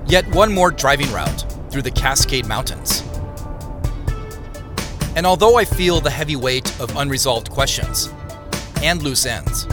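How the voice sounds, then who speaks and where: A man narrating a scripted audio drama in the first person as a reflective investigator, with measured, descriptive storytelling. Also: mid-range voice, lightly acted. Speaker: narrator and lead character.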